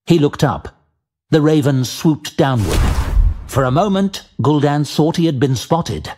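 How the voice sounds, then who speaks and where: A man reading dramatic scripted fantasy narration as an audiobook narrator, measured and deliberate. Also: mid-range voice, a little mellow, a little hiss or hum.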